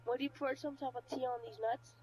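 High-pitched prank caller, deadpan woman's voice. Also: brisk, a little hiss or hum, low-quality recording. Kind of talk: prank phone call, improvised. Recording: online party voice chat.

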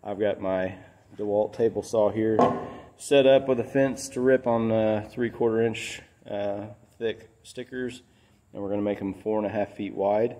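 A man's voice: on the low side, mellow, slight background noise. A man speaking casually and plainly as he explains a hands-on workshop project as a DIY host. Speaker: DIY builder and channel host. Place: large metal-roofed workshop building.

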